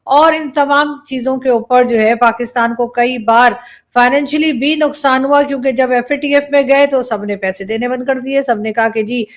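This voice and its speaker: A woman's voice: high voice, steady tone, normal speed. A woman with a Pakistani accent giving wordy, explanatory political commentary to viewers, mixing in English words. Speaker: news commentator.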